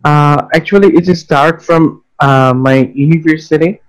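A man's voice: on the high side, fairly even intonation, a little slow. A young adult man with a Bangladeshi accent, answering casually as an interview guest. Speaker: interview guest, freelance web developer.

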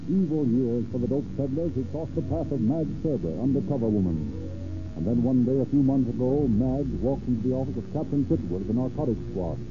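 A man's voice: medium pitch, a little fast, noisy background, natural voice. A man playing the solemn narrator of a crime drama, in scripted 1930s radio style. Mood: solemn, dramatic. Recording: tinny old radio recording.

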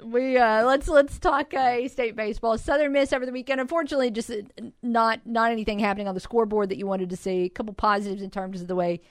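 A woman hosting a sports radio show, slightly hoarse, chatty and teasing in a studio. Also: fairly high pitch, steady tone, fast talker, hardly any pauses, no room sound. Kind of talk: casual studio talk.

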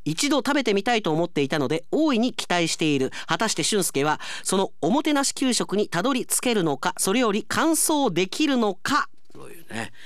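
A man's voice: high-pitched, sing-song, fairly quick, few pauses, a bit bright, dry recording, clean studio sound. A man hosting a radio segment, chatting and reading out listener mail as an announcer.

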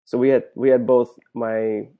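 A man's voice: medium pitch, flat delivery, unhurried. A man, a Hindi speaker, hosting a conversational interview. He talks in thoughtful, rambling turns and often restarts his sentences. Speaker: podcast host. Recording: dull lo-fi audio.

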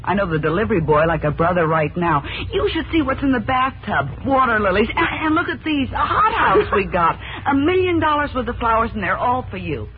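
A woman's voice: a little high, big pitch swings, brisk, hardly any pauses. An actress playing a slangy, brassy working-girl roommate, wisecracking and baffled, in 1940s radio drama style. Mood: amazed. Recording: tinny noisy narrow-band 1940s radio.

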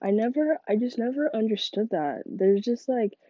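A woman's voice: fairly high pitch, expressive, normal speed, mellow, slightly rough, no room sound, lo-fi sound. A young woman with a stuffy, congested voice, talking in a rambling casual monologue full of "like" fillers. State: congested from a cold. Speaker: podcast host.

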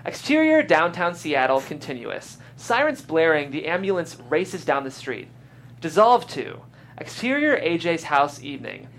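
A man's voice: fairly high pitch, sing-song, normal speed, a bit bright. A man reading screenplay stage directions aloud as the narrator of a live table read. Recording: faint background noise.

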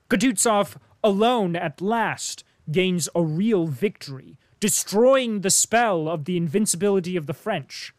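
A man reading the part of an earnest, proud young officer, speaking in a measured way. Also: high voice, no room sound, pitched above the performer's own voice.

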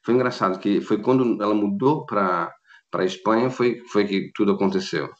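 A man's voice: on the low side, moderate pace. A Brazilian man in his fifties with a Rio accent, chatting as a guest in a casual interview over a video call, rambling with many restarts and laughs. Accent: Rio de Janeiro Brazilian Portuguese. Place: at home in Australia. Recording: dull lo-fi video call audio.

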